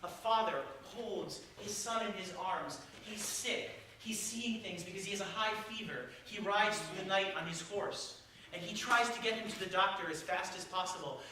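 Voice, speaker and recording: A man's voice: high voice, moderate pace, bright, forceful tone, a little hiss or hum. A male opera singer teaching a class of young listeners in a playful, encouraging way, often asking them questions. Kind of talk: lively talk to a young audience. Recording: echoey hall from far off, piano.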